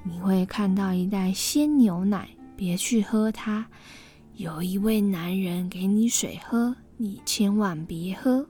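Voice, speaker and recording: A woman voicing a ragged old woman in a folk tale, speaking slowly in a hushed, half-whispered way. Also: mid-range voice, soft background music, lightly acted. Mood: warning. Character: ragged old woman giving grave advice.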